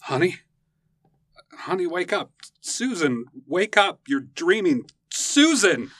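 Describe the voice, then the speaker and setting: A woman voicing a gentle husband who softly soothes his wife after a nightmare. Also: fairly low pitch, big pitch swings, no echo, voice pushed down, heavily acted. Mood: soothing. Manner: soft, soothing, slow.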